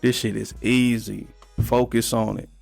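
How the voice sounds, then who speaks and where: A man's voice: mid-range voice, flat delivery, a little slow, gravelly, a little mellow, no room sound, soft background music. A man in his thirties co-hosting a podcast, chatting casually and giving blunt, profane life advice. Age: 30s. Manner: laid-back, profane, advice-giving storyteller. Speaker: podcast co-host. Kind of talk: casual chat.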